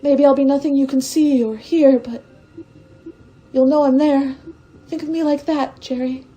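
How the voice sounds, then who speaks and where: Young stowaway woman, played by an actress, radio drama. High, mellow, tender and brave, earnest with hesitations. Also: fairly even intonation, a little slow, rough recording. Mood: wistful, brave. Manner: wistful, hesitant, emotionally earnest delivery.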